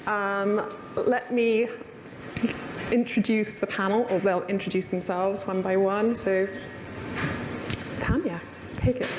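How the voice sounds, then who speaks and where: A woman chairing a conference panel on games, relaxed, often pausing with "um". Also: mid-range voice. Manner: relaxed, with frequent "um" pauses. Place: conference hall. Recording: roomy hall with long reverb and background noise.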